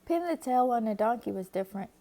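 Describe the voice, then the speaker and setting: A woman reading a children's picture book aloud with expressive, lively narration. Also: a little high, quick pace. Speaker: storybook reader.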